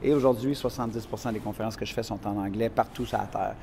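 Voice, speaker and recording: A man's voice: on the low side, fast talker, gravelly, slight background noise. A man with a Quebec French accent, a motivational speaker telling stories in a casual chat and acting out scenes and quotes. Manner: animated, acts out scenes and quotes.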